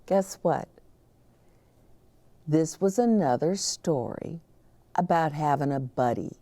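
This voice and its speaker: A woman reads a picture book to young listeners with drama and expression. She takes her time, speaking very slowly with animated pitch, in a dry, close recording. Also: no room sound. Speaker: children's story reader.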